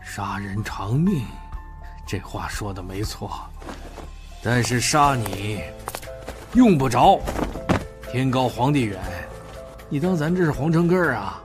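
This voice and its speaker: An actor playing a shrewd, wealthy old grandfather in an audio drama, drawling folksy threats to protect his family. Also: on the low side. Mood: cold, threatening. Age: older. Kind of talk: Chinese audio drama acting. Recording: music behind the voice.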